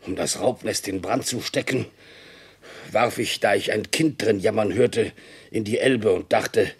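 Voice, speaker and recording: A man's voice: fairly low pitch, husky, exaggerated voice. An actor playing a beaten, loyal farmhand in a radio drama, telling his side in a subdued, earnest, honest way. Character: battered, loyal farmhand, sheepish but stubbornly honest. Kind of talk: German radio drama acting.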